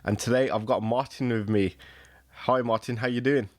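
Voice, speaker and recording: A man hosting a travel podcast, asking questions in a relaxed way and chiming in with short replies. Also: mid-range voice, moderate pace, no room sound, clean studio sound. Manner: relaxed, brief encouraging replies. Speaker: podcast host. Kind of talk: casual interview hosting.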